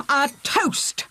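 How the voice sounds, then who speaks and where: An actress playing an ailing, playful and stubborn former opera singer in a British period radio drama, with a teasing, theatrical manner. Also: female voice, very high-pitched, very noisy, exaggerated voice.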